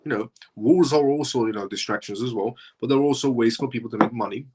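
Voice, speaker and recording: A man's voice: medium pitch, fast talker, noisy background. A man originally from Iran, hosting a live show and talking through his points in a rambling way that circles back on itself. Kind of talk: casual livestream commentary.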